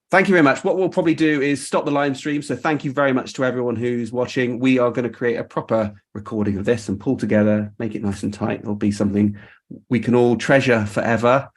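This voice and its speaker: A man with a mid-pitched voice hosts a casual live-stream show. He talks in a chatty, appreciative manner, addressing his guests informally. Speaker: host of a marketing show.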